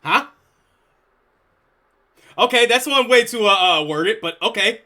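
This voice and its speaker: A young man streaming, reacting casually to a video, excitable and swearing freely. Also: sits high, measured pace, soft background music. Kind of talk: live reaction stream chat.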